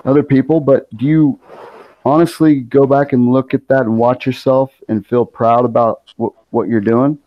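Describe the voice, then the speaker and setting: A man's voice: medium pitch, steady tone, normal speed, no room sound. A man in his forties hosts a loose chat with friends and earnestly lectures them on their choices. The sound is muffled and lo-fi, and very dry, with no room around it. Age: 40s. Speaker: channel host. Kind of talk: casual group banter.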